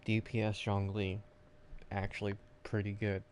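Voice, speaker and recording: A man talking casually and offhandedly while playing a video game, rambling with hesitant pauses. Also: lowish voice, monotone, soft background music. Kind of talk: casual gameplay chat.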